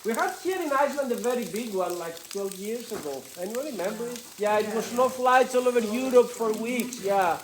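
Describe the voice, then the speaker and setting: A woman's voice: on the high side, animated, brisk, hardly any pauses, a little hiss or hum. Greek-accented woman guiding a cave tour. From Greece. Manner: chatty and playful, joking with the group, loose non-native grammar. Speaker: tour guide. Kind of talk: guided cave tour talk. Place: inside a lava tube cave with a tour group.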